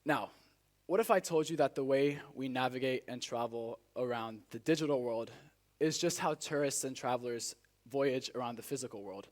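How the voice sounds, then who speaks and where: A teenage man raised in Spain delivers a rehearsed speech to a live audience as a student contestant, with earnest, deliberate, rhetorical phrasing. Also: medium pitch. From Spain, born in South Africa. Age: teenager, high school student.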